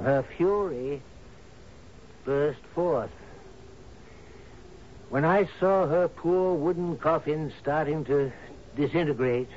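A man's voice: mid-range voice, a little mellow, natural voice. An actor in a radio drama playing an anguished, deferential friend confessing to his sea captain. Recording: dull, noisy old radio broadcast.

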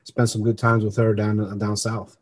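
A man's voice: fairly low pitch, level tone, moderate pace, a little mellow. A middle-aged man from Colombia who grew up in New Jersey, talking earnestly and conversationally as an interview guest about himself. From born in Colombia, grew up in New Jersey. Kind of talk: conversational personal storytelling.

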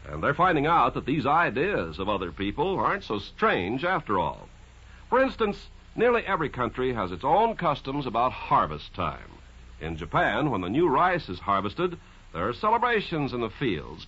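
Animated, bright-voiced American radio announcer man. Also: medium pitch, moderate pace, breathy edge, muffled recording. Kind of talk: scripted mid-century radio announcing. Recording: band-limited old radio broadcast with music.

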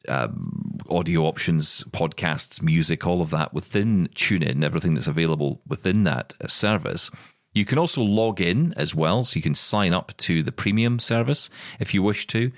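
A man's voice: low voice, lively intonation, moderate pace, no echo, studio quality. A British man co-hosting a podcast, chatting enthusiastically about tech news with frequent restarts. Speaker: podcast co-host. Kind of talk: casual tech news chat.

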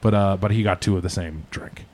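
A man's voice: low-pitched, brisk, darkish tone. A man in his mid-thirties with an American accent, co-hosting a podcast in a casual, comedic chat style. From Quincy, Massachusetts, United States. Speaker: podcast co-host.

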